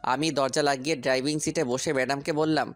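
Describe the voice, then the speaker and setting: Man as a humble young servant, mid-pitched. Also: steady tone, a little fast, close to an everyday voice. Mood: deferential. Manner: polite, deferential. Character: humble, dutiful young male servant and driver. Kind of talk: Bengali audio story acting and first-person narration. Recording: music bed under voice.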